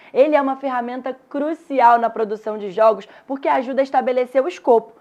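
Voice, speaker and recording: A woman's voice: on the high side, muffled recording. A woman with a Brazilian Portuguese accent narrating a scripted online lesson in a didactic way, speaking straight to the students. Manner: didactic, addresses students directly. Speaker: teacher presenting an online course.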